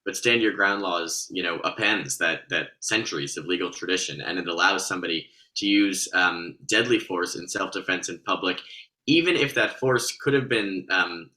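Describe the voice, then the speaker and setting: A man's voice: low-pitched, normal speed, bright, forceful tone, rough recording. An American man, a state senator, giving firm, articulate explanations on a remote panel. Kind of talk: explanatory public panel answer. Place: remote video meeting.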